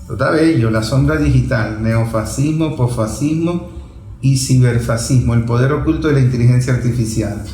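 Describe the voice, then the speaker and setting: Talk-show host in an echoey room. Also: male voice, medium pitch, normal speed, dark tone, soft background music. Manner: eager, agreeing, finishes the guest's sentences. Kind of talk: casual political chat.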